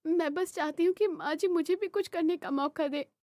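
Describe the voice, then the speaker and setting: A woman playing a high-voiced, proud young daughter-in-law. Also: moderate pace, dark tone, no room sound, clean studio sound. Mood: earnest pleading. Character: proud, aggrieved young daughter-in-law, stubborn but respectful. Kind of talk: Hindi animated family drama acting.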